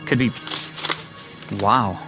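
An actor playing an obsessed, lonely, self-doubting amateur artist in an audio drama, speaking earnestly and intensely. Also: male voice, lowish voice, drawn-out words, music in the background. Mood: bewildered. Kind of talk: dramatized audio drama, first-person narration and dialogue.

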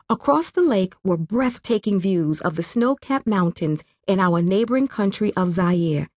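A woman's voice: mid-range voice, darkish tone, dry recording, clean studio sound. A woman from Rwanda reading her own memoir as scripted audiobook narration.

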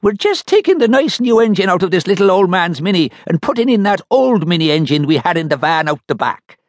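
A man's voice: high voice, very animated, fairly quick, no room sound, pitched above the performer's own voice. A man voicing a crooked, servile garage mechanic. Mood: sheepish.

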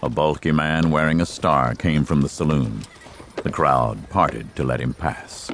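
A man narrating a dramatized western audiobook in a measured storytelling style. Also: extremely low pitch, fairly bright tone, slight background noise.

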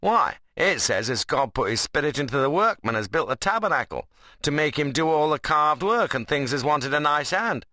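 A man's voice: on the high side, expressive, normal speed, sharp tone, no room sound, clean studio sound, pitched above the performer's own voice. A man voicing a principled, plain-spoken workman in an audiobook novel, blunt and earnest in a dialect. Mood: earnest conviction.

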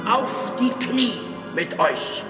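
A man playing a fanatical master of an evil sect in a horror radio drama, speaking solemnly and ceremonially in drawn-out incantations. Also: high-pitched, very animated, heavily acted. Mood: fervent invocation. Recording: long echoing hall reverb. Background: prominent music.

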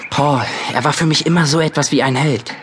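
A man's voice: fairly high pitch, steady tone, fairly quick, background noise, close to an everyday voice. An actor playing an earnest, concerned young man, a younger brother, in an audio drama. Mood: worried, disappointed. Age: young adult. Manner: earnest, troubled. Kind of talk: German audio drama acting.

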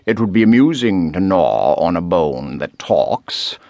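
A man voicing a wily, suave male fox villain in a storybook, with a smooth, drawn-out, self-satisfied delivery. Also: low voice, big pitch swings, darkish tone, dry recording, voice pushed down, full character voice. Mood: snarling threat.

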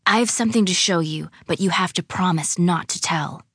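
A woman's voice: lowish voice, fairly quick, fairly bright tone, slightly rough, no room sound, studio quality. A woman reading a storybook, voicing a secretive, determined young girl who speaks earnestly and insistently.

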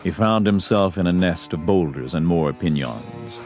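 A man's voice: deep voice, animated, normal speed, darkish tone. A man narrating a Western story as a scripted audio drama. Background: music.

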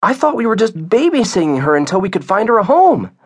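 A man voicing an excitable young girl with an eager, emphatic, childlike manner, as in a storybook. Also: very high voice, slow pace, dry recording, studio quality, voice pushed up, exaggerated voice. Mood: excited.

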